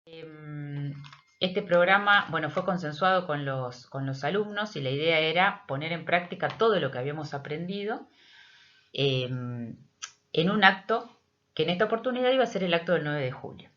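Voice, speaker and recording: A middle-aged Argentine woman, a teacher, explaining a project in a prepared but hesitant, measured presentation with frequent pauses. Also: low voice, animated, fairly bright tone, a little hiss or hum. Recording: dull, lo-fi audio.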